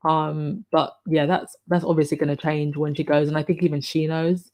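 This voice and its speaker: Woman, croaky voice, live-stream host, casual chatty talk, lots of ums. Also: deep voice, little pitch movement, moderate pace, a little mellow. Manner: chatty, restarts sentences with frequent ums. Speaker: livestream host reading viewer comments.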